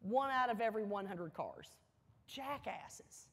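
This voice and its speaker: A woman giving a motivational speech, telling a personal story deliberately, with dramatic pauses and emphatic repetition. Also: mid-range voice, animated, fairly bright tone, slightly rough. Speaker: keynote speaker. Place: large hall, speaking to an audience. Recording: echoey hall, long reverb.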